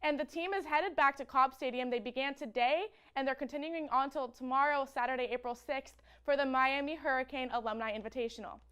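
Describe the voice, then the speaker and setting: Young female student anchor, high voice, scripted sports news. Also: a little fast, hardly any pauses, a bit bright, clear, resonant tone. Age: young adult.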